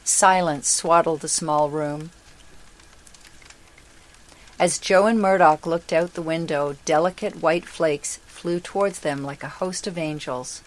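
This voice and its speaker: A woman reading a storybook as narrator in a measured, deliberate manner. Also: sits low, fairly even intonation, low-quality recording.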